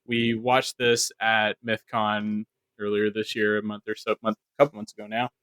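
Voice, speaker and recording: A man's voice: lowish voice, level tone, moderate pace, fairly bright tone, clean tone, no room sound. A man chatting casually as part of a podcast panel. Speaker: podcast producer joining the panel. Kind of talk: casual chat.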